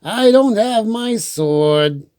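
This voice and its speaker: A man's voice: sits high, animated, extremely slow, darkish tone, clear, resonant tone, no room sound, clean studio sound, voice pushed up, exaggerated voice. A man voicing an earnest, slightly stubborn young boy turtle in a storybook.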